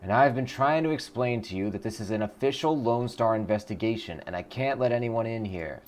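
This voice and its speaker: A man's voice: lowish voice, brisk, natural voice. A man voicing an irritated, threatening police officer from a video game. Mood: exasperated. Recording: clear background noise.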